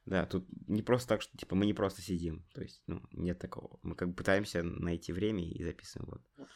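A young man, a student, co-hosting a casual podcast remotely, speaking softly and hesitantly. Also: low-pitched, steady tone, a little fast, creaky. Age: young adult. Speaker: podcast co-host. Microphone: remote recording.

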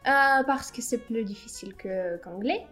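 A woman's voice: fairly high pitch, moderate pace, fairly bright tone, faint music behind. A woman who is a native Russian speaker, co-hosting a podcast as an English teacher with casual, self-deprecating humour.

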